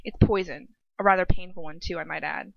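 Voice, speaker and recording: A woman's voice: fairly low pitch, steady tone, brisk, gravelly, dry recording, muffled recording. A woman voicing a cunning, cruel young witch who taunts in a light, sweet tone, reading a fan story. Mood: menacing. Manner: taunting, condescending.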